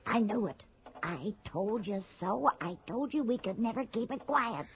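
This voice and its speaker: An actress playing a shrewd, bossy small-town storekeeper with a folksy, insistent way of talking in a radio drama. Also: female voice, mid-range voice, expressive, measured pace, background noise. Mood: scolding, anxious. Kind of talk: 1980s radio drama acting. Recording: muffled old radio broadcast.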